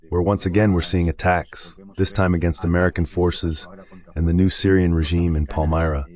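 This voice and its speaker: Deep-voiced male political commentator. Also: darkish tone. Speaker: guest commentator. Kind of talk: political commentary, translated voice-over.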